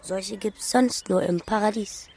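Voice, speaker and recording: A woman's voice: mid-range voice, a little slow, creaky, a little mellow, husky. Enigmatic, playful village woman. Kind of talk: naturalistic radio drama acting.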